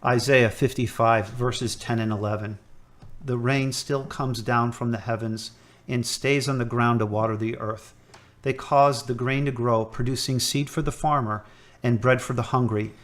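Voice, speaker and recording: An actor playing a hospital chaplain who gives calm, measured counsel in an amateur audio drama. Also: male voice, mid-range voice, lightly acted. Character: gentle middle-aged male priest.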